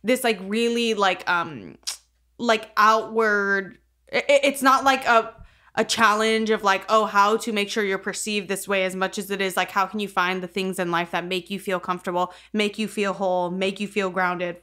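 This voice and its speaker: A woman's voice: mid-range voice, steady tone, normal speed, bright, forceful tone. A young woman co-hosting a casual podcast, rambling and sweary, leaning heavily on filler words like "like". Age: young adult. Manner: rambling, sweary, heavy use of "like". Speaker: podcast co-host.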